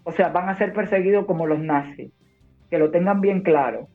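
A woman's voice: lowish voice, moderate pace. Woman from Cuba, war correspondent, emphatic, urgent, tinny phone audio, faint music behind. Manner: emphatic, warning, long heated turns. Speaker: war correspondent and nurse guest. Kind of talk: phone interview. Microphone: phone call.

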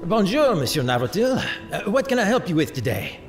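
A man's voice: sits high, sing-song, fairly quick, over music. An actor playing a courteous, attentive man who assists travellers in an audio drama, polite and obliging. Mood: helpful.

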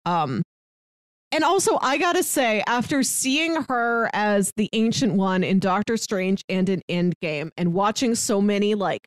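A woman's voice: mid-range voice, no room sound, studio quality. A woman co-hosting a podcast, chatting casually about films and sharing trivia. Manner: explains with trivia, quick asides. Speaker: podcast co-host. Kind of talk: casual movie-review chat.

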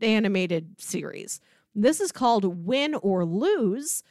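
A woman's voice: mid-range voice, sing-song, slow, a little mellow, no echo, studio quality. A woman co-hosting a podcast in casual, enthusiastic conversation. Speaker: podcast co-host. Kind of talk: casual chat.

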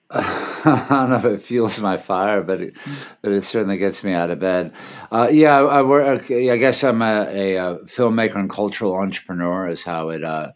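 A man's voice: fairly low pitch, moderate pace. A man in his fifties from Washington, D.C., a filmmaker, talking casually and hesitantly in a video call interview. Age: 50s. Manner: relaxed, hesitant, many fillers. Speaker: filmmaker and festival founder, guest.

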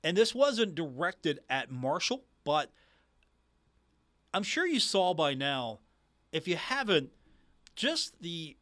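A man's voice: on the high side, sing-song, slow, long pauses, sharp tone, no echo. A man hosting sports radio, talking casually and emphatically to listeners on a close studio mic. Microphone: close studio microphone.

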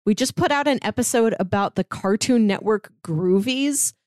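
A woman's voice: fairly high pitch, moderate pace, a little mellow, no room sound, studio quality. A woman co-hosting a podcast, talking in a chatty, informal way. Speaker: podcast co-host. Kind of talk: casual podcast chat.

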